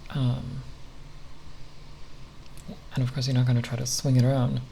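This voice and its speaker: A speaker giving an unscripted ASMR ramble in a halting, nerdy, hesitant manner with long pauses. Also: mid-range voice, monotone, vocal fry, mellow. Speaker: ASMR content creator. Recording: faint background noise.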